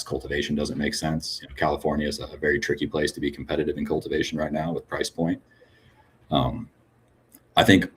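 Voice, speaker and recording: A man's voice: a bass voice, a little hiss or hum. A man speaking frankly as a podcast guest, often tagging his points with "right?". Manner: frank, tag questions with "right?". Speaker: cannabis business guest. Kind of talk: conversational podcast interview.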